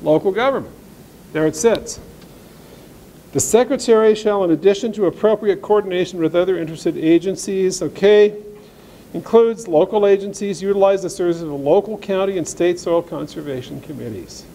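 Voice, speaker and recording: A man's voice: high voice, moderate pace, mellow, slight background noise, poor audio. A man giving a blunt, sarcastic talk at a farm meeting, reading passages of law aloud and commenting on them. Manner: reads legal text aloud, then comments. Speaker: speaker at an agricultural seminar. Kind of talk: folksy presentation to ranchers.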